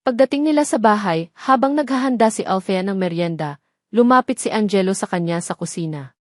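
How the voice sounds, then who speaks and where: A woman's voice: mid-range voice, lively intonation, brisk, no echo, studio quality. A woman with a Filipino accent reading a scripted dramatic story as its storyteller.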